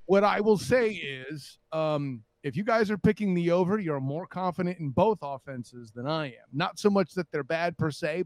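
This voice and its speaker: A man's voice: on the high side, darkish tone, no room sound. A man giving casual, opinionated sports commentary as a panelist, wordy, wry and emphatic. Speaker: sports show panelist and reporter.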